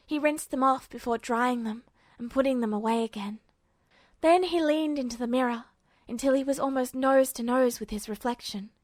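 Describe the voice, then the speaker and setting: A woman's voice: on the high side, no room sound. A woman reading scripted fiction as a narrator.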